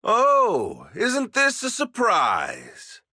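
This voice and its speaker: A middle-aged police commander for a dramatic video game. His voice is piercingly forceful and rather high for a man, with big swings of intonation. He speaks pompously, as if every line were an official proclamation. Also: wildly swooping pitch, extremely slow, breathy edge, dry recording, clean studio sound, full character voice. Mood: shocked, indignant.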